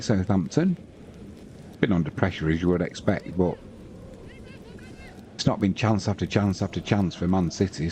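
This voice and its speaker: A man in his fifties with a British accent, hosting a live football stream, chatting slowly and reading out scores in an unhurried, relaxed way. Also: low voice, slight background noise. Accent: British English. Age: 50s. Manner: slow, unhurried, chatty, reads scores aloud. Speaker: football commentator and host.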